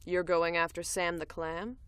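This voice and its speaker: Woman playing a low-voiced, wry bounty hunter. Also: steady tone, a little slow, a bit bright, clean tone, no room sound, studio quality. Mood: wry, dismissive. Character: cool, wry, tough female bounty hunter antihero. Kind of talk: audio drama, first-person narration and dialogue.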